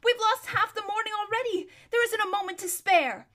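A woman voicing a proud, impatient noble girl who speaks in a brisk, commanding way. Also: very high-pitched, fairly bright tone, pitched above the performer's own voice.

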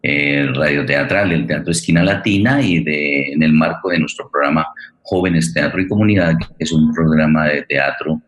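A low-voiced man hosts a live program off the cuff. He speaks haltingly, often searching for the next word, and the sound is roomy and echoing. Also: a bit bright. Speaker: program host. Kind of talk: live unscripted hosting of a radio theatre program.

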